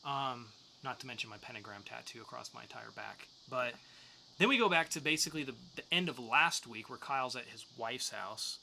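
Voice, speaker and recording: A man's voice: medium pitch, expressive, brisk, vocal fry, sharp tone, a little hiss or hum. A man co-hosting a podcast, talking through news and reviews in a casual, joking way. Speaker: podcast co-host. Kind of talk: news segment and comics review.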